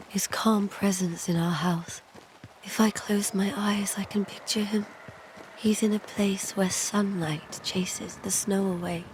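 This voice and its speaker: A woman's voice: on the low side, a little hiss or hum, low-quality recording. A woman playing a tender, devout, grieving widow in a video game, with a pleading and wistful manner. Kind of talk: dramatic video game acting.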